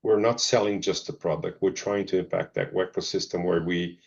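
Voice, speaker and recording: A middle-aged man, answering interview questions thoughtfully and pausing often with ums and restarts. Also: deep voice, fairly even intonation, vocal fry. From Zimbabwe, Portuguese family. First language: Portuguese. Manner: hesitant, many ums and restarts. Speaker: pharma executive guest. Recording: dull lo-fi audio.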